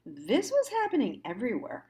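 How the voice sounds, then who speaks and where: An American woman giving a reflective monologue as a host, musing haltingly and stressing key words. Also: a little high, huge pitch swings, dark tone. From United States. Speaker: host of a personal storytime show.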